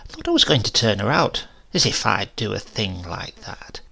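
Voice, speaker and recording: A man's voice: lowish voice, big pitch swings, moderate pace, gravelly, pushed and bright, slightly rough, pitched below the performer's own voice, full character voice. A man voicing a chatty, defensive landlady of nearly seventy in a horror story reading, rambling and confiding in colloquial phrases. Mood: gossipy, insistent. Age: older.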